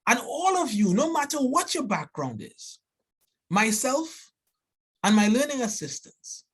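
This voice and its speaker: A man's voice: very high voice, unhurried, husky. Earnest Trinidadian man giving a talk. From Trinidad and Tobago. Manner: earnest and animated, with frequent restarts and "right?" asides. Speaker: biology professor giving a seminar. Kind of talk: seminar talk.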